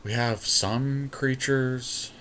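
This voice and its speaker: A man's voice: mid-range voice, fairly even intonation, extremely slow, a bit bright. A man co-hosting a podcast, chatting casually and thinking aloud as he weighs options during a card game draft. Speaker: podcast co-host.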